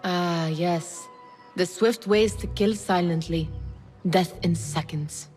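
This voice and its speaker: A woman's voice: lowish voice, takes it slowly, music in the background. An actress playing a self-assured, probing young woman in a video game cutscene. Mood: probing, knowing.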